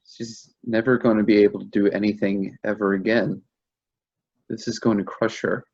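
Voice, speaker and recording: A man acting a shaken, confused husband in grief in a staged play reading, speaking haltingly and repeating himself. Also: lowish voice, little pitch movement, darkish tone, muffled recording. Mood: subdued, anxious. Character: shaken, confused husband grieving a lost pregnancy.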